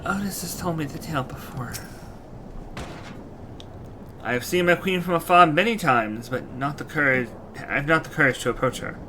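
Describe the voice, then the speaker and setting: A man's voice: fairly high pitch, fairly quick, noisy background, close to an everyday voice. Man acting out an earnest, courtly fantasy knight. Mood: earnest, hesitant. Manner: formal, archaic, theatrical phrasing. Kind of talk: fantasy game dialogue read aloud. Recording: music behind the voice.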